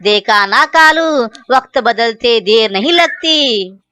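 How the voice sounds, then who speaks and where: Woman voicing a taunting, slow, bright cartoon owl. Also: a little high, lively intonation, heavily acted. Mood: vengeful. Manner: taunting, menacing. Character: vengeful bullying male owl, taunting old enemy. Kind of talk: children's cartoon story dialogue.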